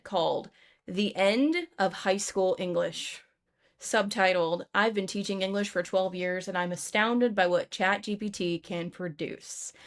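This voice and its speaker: A woman hosting a conversation about teaching, talking in an eager, chatty way. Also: medium pitch. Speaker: host, English teacher. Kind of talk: casual conversation.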